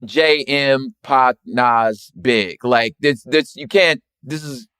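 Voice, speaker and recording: A man's voice: medium pitch, slow, pushed and bright, smooth, dry recording. Male, American, mid-range voice, dry recording. Emphatic, slangy, repetitive casual talk. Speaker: rapper and poet, guest. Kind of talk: casual music discussion.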